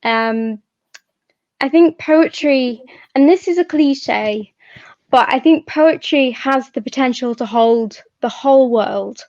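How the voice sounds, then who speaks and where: A woman hosting an event, talking reflectively and informally in a thoughtful, halting way. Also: high-pitched, darkish tone. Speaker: event host and poet. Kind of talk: reflective informal talk to an audience. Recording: echoey, slightly muffled sound.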